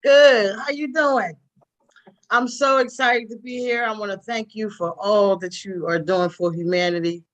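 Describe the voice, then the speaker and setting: A woman's voice: medium pitch, steady tone, a little slow, dull audio. A middle-aged woman and grandmother on a video call, effusive and thankful. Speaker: meeting participant. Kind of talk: casual video call greeting.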